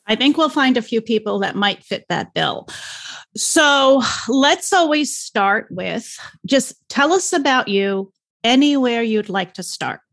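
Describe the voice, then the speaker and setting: A woman hosting an interview podcast, relaxed and welcoming as she introduces her guest. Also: on the high side, measured pace, vocal fry, dry recording. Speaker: podcast host.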